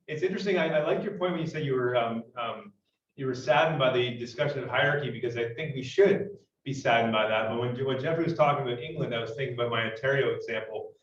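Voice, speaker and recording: Canadian guy thinking out loud at a conference, lots of ums, distant roomy sound. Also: medium pitch. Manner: thoughtful, many fillers and restarts. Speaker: conference participant. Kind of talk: unscripted panel comment. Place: conference room. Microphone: room microphone at a distance. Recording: roomy, distant, dull sound with faint noise.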